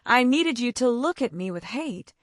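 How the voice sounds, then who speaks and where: Brief: woman reading as an intense, tender young heir, soft earnest pleading, expressive lilt, dry close sound. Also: on the high side, moderate pace, dry recording.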